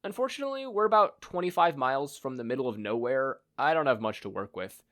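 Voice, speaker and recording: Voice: mid-range voice, lively intonation, fast talker, fairly bright tone, close to an everyday voice. A performer voicing a meek, laid-back male villain in a mild, matter-of-fact way in an audio story. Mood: meek apologetic.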